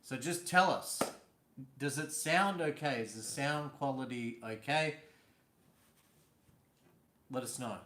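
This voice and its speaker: A man's voice: medium pitch, takes it slowly, long pauses, pushed and bright, slight background noise. Guy chatting on a home live stream, laid back, easy laughs, roomy echo. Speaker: vlog host. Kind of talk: casual live-stream chat. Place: indoors at home.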